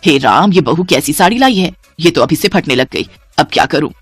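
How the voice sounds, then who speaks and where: A scolding older mother-in-law from a storybook cartoon, as a woman narrator puts her on. Her voice sits low and heavy, the delivery swings widely and sounds dry and close-miked with no room around it. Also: lively intonation, fairly quick, slightly rough, no room sound, faint music behind. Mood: dismayed. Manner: lower, heavier voice than the narration.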